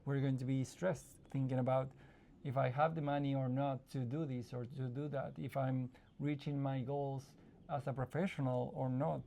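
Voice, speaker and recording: A man from Argentina speaking as the guest in a casual interview. His voice sits in an ordinary middle pitch with a gravelly, creaky edge. He talks thoughtfully, pausing and restarting as he searches for the right words. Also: level tone, warm tone, a little hiss or hum. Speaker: psychologist guest.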